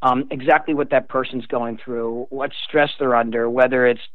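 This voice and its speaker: A man's voice: medium pitch, fairly even intonation, normal speed, no echo. Older man, phone-line sound, dry, earnest and emphatic, explanatory guest talk. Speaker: guest author. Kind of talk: explanatory radio interview talk. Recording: tinny narrow phone line.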